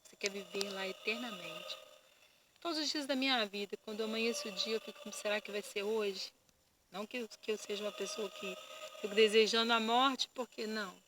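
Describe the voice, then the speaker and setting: Woman pastor recording a heartfelt testimony; earnest, halting delivery, middle pitch, audible noise behind. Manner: earnest, halting, repeats words. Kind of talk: personal testimony. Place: indoors.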